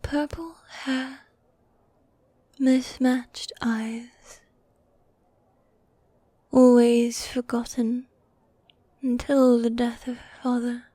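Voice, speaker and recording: Needy goth demon girlfriend, played by a woman, ASMR roleplay: dark velvety whisper, unhurried, teasing and affectionate. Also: a little high, level tone, dry recording. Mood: hushed storytelling. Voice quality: soft, breathy, often whispering. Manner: affectionate, coaxing, drawn-out genteel phrasing. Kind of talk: medieval fantasy ASMR roleplay.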